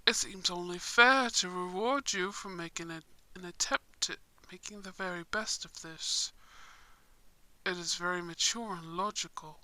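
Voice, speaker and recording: Woman voicing a slow, suave vampire prince. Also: lowish voice, sharp tone, pitched below the performer's own voice, rough recording. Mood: courtly, considering. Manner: formal, measured, suave phrasing. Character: courtly, smug, romantic young male vampire prince. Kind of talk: scripted roleplay ASMR.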